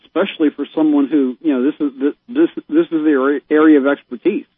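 A man calls into a show and speaks in an exasperated, emphatic way, sighing as he goes. His voice sits fairly high and sounds husky. It comes through a tinny, dry phone line. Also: steady tone, a little fast, dry recording. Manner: exasperated, emphatic, given to sighs. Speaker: caller. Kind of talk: casual phone chat.

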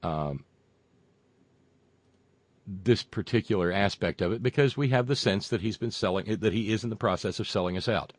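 A man hosting a show, reading viewer comments and delivering sarcastic political commentary that swings from loud rants to muttered asides, with frequent fillers and profanity. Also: lowish voice, moderate pace, long pauses, no echo. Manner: mocking, builds into loud rants then drops to muttering; profane, many fillers and restarts.

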